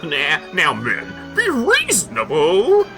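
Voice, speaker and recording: A man's voice: a little high, huge pitch swings, music in the background, full character voice. A man voicing a greedy, scheming racketeer boss from a comic, with a hammy, theatrical delivery. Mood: panicked. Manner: drawn-out, hammy.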